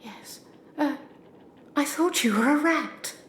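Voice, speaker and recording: A woman's voice: high voice, slow, a little hiss or hum. A woman playing an anxious, curious new governess in an audio drama, earnest and questioning. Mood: hesitant. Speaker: amateur actor. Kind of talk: community audio drama acting.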